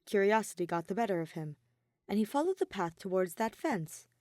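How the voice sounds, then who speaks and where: A woman's voice: mid-range voice, big pitch swings, fairly quick, a little mellow, no room sound, studio quality. A woman reading scripted fan fiction as its narrator.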